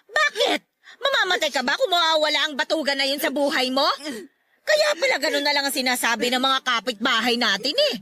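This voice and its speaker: A domineering middle-aged Filipino mother in a radio drama. Her voice is very high and sharp, scolding and nagging with scorn. The sound is close and dry. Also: no room sound, heavily acted. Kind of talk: Tagalog radio drama acting.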